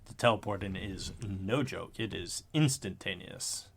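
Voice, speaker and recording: Gravelly-voiced man rambling casually. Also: sits low, measured pace, a bit bright, a little hiss or hum. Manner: rambling, self-correcting, swears casually. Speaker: podcast co-host. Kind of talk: casual chat. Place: home room.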